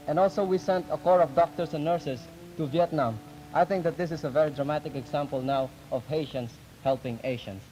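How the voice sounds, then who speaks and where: A teenage male youth delegate, speaking in a measured, explanatory way in a formal discussion, on a 1950s archival recording. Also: fairly high pitch, a little mellow, poor audio. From Philippines. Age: teens. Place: public speaking event.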